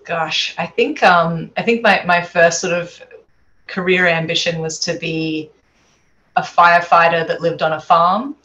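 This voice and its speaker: Australian woman, 30s, low voice, easygoing, video call from home. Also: fairly even intonation, a little slow, bright, forceful tone, muffled recording. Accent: Australian with American tinge. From Adelaide, Australia. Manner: easygoing, laughs lightly, hesitant fillers. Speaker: sleep researcher guest. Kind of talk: casual interview chat. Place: home room.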